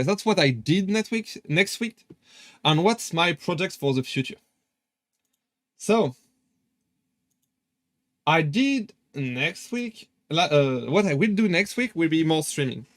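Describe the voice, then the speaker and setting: A man's voice: high voice, lively intonation, unhurried, pauses often, a bit bright, no echo, clean studio sound. A young man with a French accent chatting casually on a live stream, hesitant with many fillers and restarts. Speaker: VTuber streamer.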